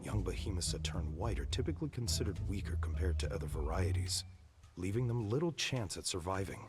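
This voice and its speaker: A man playing a studious, detached creature researcher in a video game, lecturing in a measured and precise manner. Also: low-pitched, big pitch swings, music in the background. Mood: fascinated. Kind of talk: scripted video game voice acting.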